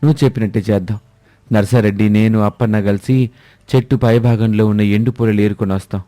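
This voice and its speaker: A man voicing a proud, supportive village family man in an animated moral story, speaking with earnest, admiring emphasis. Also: fairly low pitch, quick pace, no echo, lightly acted.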